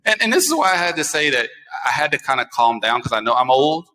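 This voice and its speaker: An American man in his forties hosting a talk show, chatting casually and telling personal stories in an animated way, repeating phrases for emphasis. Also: fairly high pitch, fast. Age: 40s. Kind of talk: casual chat, personal storytelling. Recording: echoey, long room reverb.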